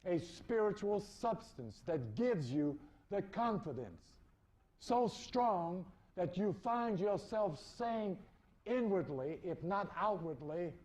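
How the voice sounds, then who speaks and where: A man preaching an esoteric spiritual sermon, deliberately repeating phrases for emphasis. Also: high-pitched, big pitch swings, darkish tone.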